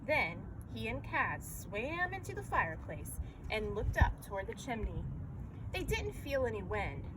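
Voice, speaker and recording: A woman's voice: a little high, very animated, measured pace. A woman reading a children's story aloud as narrator. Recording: clearly noisy background.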